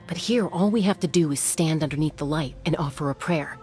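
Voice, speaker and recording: A woman voicing a calm, knowing, serious mystic guide in a video game, measured and explanatory. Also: sits low, faint music behind, natural voice. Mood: calm, explaining.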